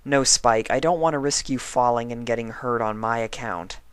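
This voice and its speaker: A man voicing a caring female unicorn pony who is sick in bed, gentle and concerned, in a storybook reading. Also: mid-range voice, normal speed, clear, resonant tone, no echo, pitched above the performer's own voice, natural voice. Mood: apologetic, caring.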